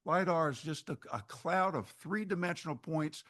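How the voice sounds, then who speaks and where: A man's voice: a little high, normal speed, a bit bright. A man presenting on forest ecology to a meeting audience in an informal, conversational way, often restarting phrases and adding wry asides. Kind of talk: informal slide lecture to an audience. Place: meeting hall at an annual meeting.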